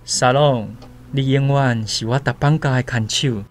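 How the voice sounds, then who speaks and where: A man's voice: mid-range voice, fairly even intonation, measured pace, music in the background, lightly acted. An actor with a Taiwanese accent playing a devoted, earnest young island man in love in a literary radio drama. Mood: tender.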